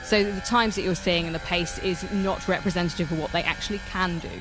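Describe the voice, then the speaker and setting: A woman's voice: on the low side, steady tone, brisk, low-quality recording. A woman reporting live on motorsport and bantering casually with colleagues.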